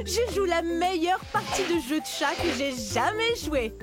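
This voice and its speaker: A woman voicing a playful, cheeky young female dinosaur, small and speedy, in an excitable children's cartoon manner. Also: very high voice, takes it slowly, over loud music, full character voice. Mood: excited, playful. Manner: excitable, teasing, addresses viewers. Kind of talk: animated children's cartoon acting.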